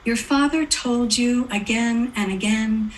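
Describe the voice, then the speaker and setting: Slow-spoken, fairly high-voiced woman poet. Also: slight background noise, rough recording. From Outaouais region of Quebec, Canada. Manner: hesitant, halting introductions then measured reading. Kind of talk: poetry reading with spoken introductions.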